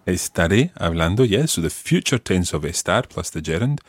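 A man's voice: deep voice, normal speed, slightly rough, no room sound, studio quality. A man hosting a language lesson podcast, explaining grammar patiently and encouragingly. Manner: patient, encouraging, lively intonation. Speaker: teacher and podcast host.

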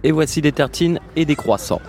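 A man's voice: on the high side, normal speed, darkish tone. A man playing a polite waiter in a scripted French dialogue. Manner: polite, formal service speech. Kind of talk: scripted role-play dialogue.

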